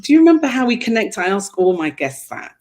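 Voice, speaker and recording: Effusive middle-aged American woman host on a video call, warm and expressive talk. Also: mid-range voice, fast talker. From United States. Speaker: interview host. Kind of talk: casual interview chat. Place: home room, on a video call.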